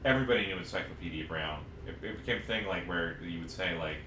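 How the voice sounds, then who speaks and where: A man's voice: low voice, fast, sharp tone, far from the mic. A man co-hosting a podcast in loose, informal, casual conversation. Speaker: podcast co-host. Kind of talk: casual chat. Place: untreated room, podcast recorded on a live stream. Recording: echoey room with noticeable background noise.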